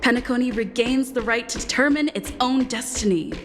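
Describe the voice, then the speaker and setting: A woman's voice: a little high, fairly quick, close to an everyday voice. A woman playing a grand, composed storyteller narrating a scripted video game story recap. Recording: long reverb, music behind.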